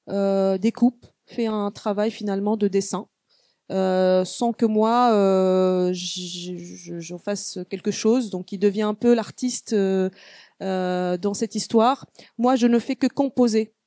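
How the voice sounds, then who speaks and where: Halting woman. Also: mid-range voice, fairly even intonation, warm tone, dry recording, clean studio sound. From Tunisia. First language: Arabic. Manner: halting, full of hesitations and restarts. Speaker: guest artist. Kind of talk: reflective panel talk.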